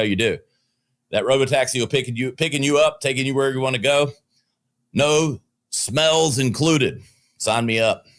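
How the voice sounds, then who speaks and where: A man's voice: medium pitch, fairly bright tone. Southern-accented man hosting a podcast. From Southern United States. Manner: opinionated, joking asides. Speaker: podcast host. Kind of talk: solo business news commentary. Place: podcast studio.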